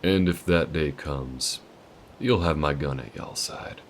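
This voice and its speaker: A man voicing a calm male alien gunslinger in an audio roleplay, speaking to the listener in a slow, folksy drawl with a patient, protective manner. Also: extremely low pitch, slight background noise, full character voice. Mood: easygoing. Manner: patient, steady instructing.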